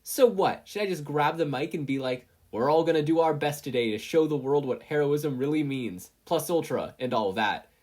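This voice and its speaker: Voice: medium pitch, normal speed, hardly any pauses, darkish tone, voice pushed up, natural voice. A narrator voicing a brash, irritable teenage boy with a blustering, snappish delivery. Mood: irritated.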